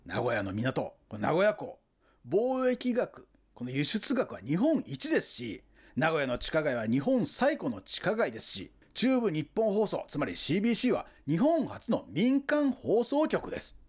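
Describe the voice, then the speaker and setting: An actor playing a pushy, fanatical hometown booster who lectures insistently and grandiosely in a comic radio drama. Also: male voice, fairly high pitch, wildly swooping pitch, moderate pace, slightly rough, heavily acted. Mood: grandly boastful. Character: pushy, fanatical Nagoya booster, indignant salesman-like man.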